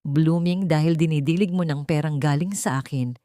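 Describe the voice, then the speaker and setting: A woman voicing a betrayed wife in an audio drama, calm on the surface and quietly bitter. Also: sits low, normal speed, dry recording, close to an everyday voice.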